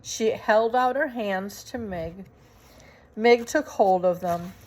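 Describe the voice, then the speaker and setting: A woman reading a children's story aloud as narrator, with dramatic, deliberate phrasing. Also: mid-range voice, poor audio. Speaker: storybook reader.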